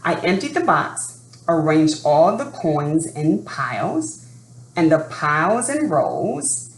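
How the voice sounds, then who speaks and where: A woman presenting and reading a picture book to children in an expressive storytelling style, addressing young listeners directly. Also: low voice. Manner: expressive, asks young listeners questions and pauses. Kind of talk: read-aloud storytelling for children. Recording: roomy sound with faint background noise.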